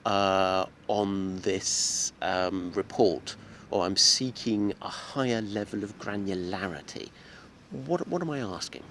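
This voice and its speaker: A man hosting a relaxed English-lesson interview, explaining office jargon with everyday examples and laughing often. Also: low-pitched, slow, slight background noise. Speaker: English teacher and host. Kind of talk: casual teaching interview.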